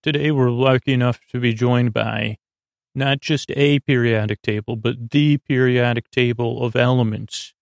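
Creaky voiced guy rambling on a sleep podcast, flat tone, keeps stammering and restarting words. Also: medium pitch, no echo. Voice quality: creaky, gravelly. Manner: meandering, halting, stammering, repeats and trails off mid-word. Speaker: podcast host.

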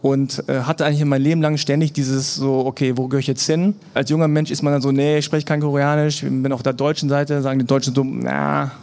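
A man's voice: mid-range voice, fast talker, creaky, hardly any pauses. A man from Germany, a podcast guest, talking casually on a live panel and acting out the voices of people he quotes. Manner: relaxed, acts out quoted voices of others. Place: live event at an embassy.

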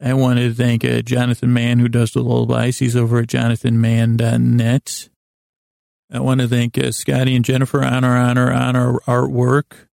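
A man's voice: medium pitch, monotone, normal speed, creaky, a little mellow, dry recording, studio quality. A man hosting a bedtime podcast in a rambling, soothing, self-deprecating way, stammering and repeating words as he goes. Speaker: podcast host.

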